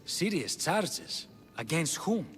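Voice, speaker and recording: A man's voice: on the high side, very animated, takes it slowly, a bit bright, soft background music, full character voice. An actor playing a proud, scheming Spartan king in a video game, haughty and sardonic. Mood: indignant. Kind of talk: dramatic video game acting.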